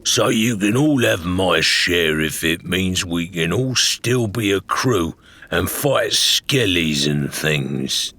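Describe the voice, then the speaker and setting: A man's voice: deep voice, animated, takes it slowly, vocal fry, pushed and bright, exaggerated voice. A man voicing a stubborn, simple-hearted, loyal pirate crewmate in an audiobook, speaking in a plain, dogged way. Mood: stubborn, earnest. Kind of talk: audiobook character voice.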